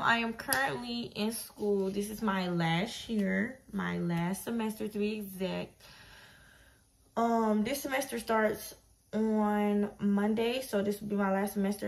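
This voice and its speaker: A woman's voice: medium pitch, creaky. A woman of twenty-one from Detroit, chatting casually to camera in a laid-back, slangy, blunt way. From Detroit, Michigan, USA. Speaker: vlogger. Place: small bedroom, indoors.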